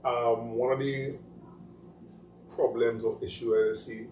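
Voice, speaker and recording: A man's voice: mid-range voice, level tone, takes it slowly, a little mellow, far from the mic. A man with a Trinidadian accent hosting a casual talk show, rambling through stories with emphasis. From Trinidad and Tobago. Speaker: host. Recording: echoey room, voice from off-mic, faint noise.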